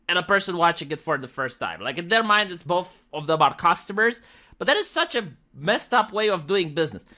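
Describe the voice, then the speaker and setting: Opinionated commentary host, really animated pitch swings, sharp bright voice, exasperated and argumentative. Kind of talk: opinionated casual commentary on films and games.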